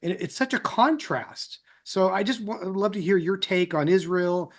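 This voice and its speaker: A man's voice: sits high, moderate pace. A man hosting an interview, rambling and piling up repeated words as he sets up his question. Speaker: interview host. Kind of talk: conversational interview.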